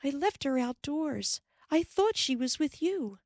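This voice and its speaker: A woman's voice: huge pitch swings, a little slow, creaky, dry recording, studio quality, full character voice. A woman putting on a frantic pioneer mother. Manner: high, calling out. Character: frightened pioneer mother searching.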